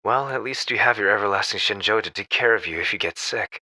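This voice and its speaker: A man's voice: lowish voice, quick pace, background noise, natural voice. An actor playing a caring, inquisitive male friend, attentive and conversational, in an audio drama. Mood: curious concern.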